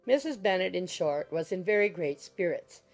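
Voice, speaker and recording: A woman's voice: fairly low pitch, expressive, normal speed, faint music behind. A woman reading scripted literary narration for a dramatized audiobook.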